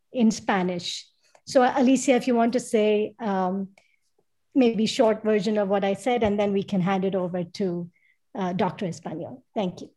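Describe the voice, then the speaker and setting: A woman organizer welcoming students to an online course, encouraging them to ask questions. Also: fairly high pitch. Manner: warm, encouraging. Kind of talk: welcoming remarks on a video call.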